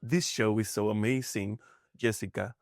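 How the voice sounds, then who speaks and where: A man with a Puerto Rican accent putting on a lower, slower voice to tease his host in a mock-pompous way. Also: steady tone, no echo, studio quality, natural voice. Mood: teasing. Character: man mimicking the host, low and slow.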